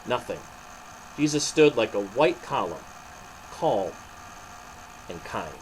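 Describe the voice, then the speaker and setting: A man's voice: medium pitch, big pitch swings, slow, a little hiss or hum, poor audio. A man, a pastor, reading a story and talking to children in a dramatic, emphatic way. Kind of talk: animated storybook narration and children's devotional.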